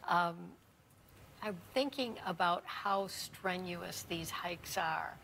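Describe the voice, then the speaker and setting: A woman's voice: fairly low pitch, takes it slowly, creaky, fairly bright tone, slight background noise, rough recording. A woman news anchor interviewing guests in a TV studio, asking friendly leading questions into a lapel mic.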